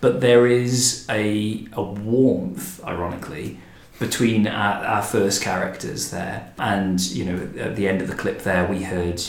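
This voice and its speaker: A man's voice: lowish voice, fairly even intonation, a little slow, few pauses. A man hosting a reflective interview conversation with thoughtful, considered phrasing. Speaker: podcast host and director. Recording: echoey room.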